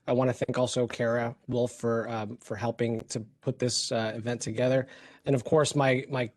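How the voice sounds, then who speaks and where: A man's voice: mid-range voice, flat delivery, normal speed, a little mellow, no echo. A man from the Philippines telling colleagues his personal story, hesitant, with many fillers and restarts. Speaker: hospital executive.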